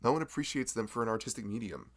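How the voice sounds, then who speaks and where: A man's voice: medium pitch, animated, fast talker. A man voicing a friendly, nerdy young florist in an ASMR roleplay, rambling, laughing often and teasing himself. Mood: chatty.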